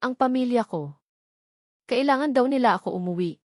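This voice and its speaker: Narrator voicing an anxious, tender Filipina heroine. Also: female voice, on the high side, expressive, moderate pace, no echo, studio quality, pitched above the performer's own voice. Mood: worried. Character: anxious, devoted woman surgeon, dutiful daughter.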